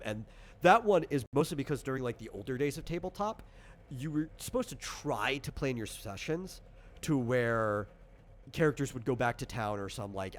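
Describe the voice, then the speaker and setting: A man's voice: fairly low pitch, slight background noise. A man casually explaining tabletop game rules as designer and game master, talking informally with examples.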